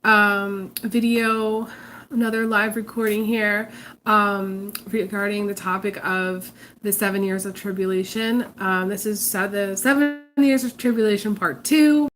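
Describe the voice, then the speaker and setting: A woman's voice: medium pitch, steady tone, a little slow, a bit bright, soft background music. A congested woman hosting a casual live stream as a psychic reader, talking hesitantly with many ums and restarts. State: congested, recovering from illness. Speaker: psychic reader, channel host.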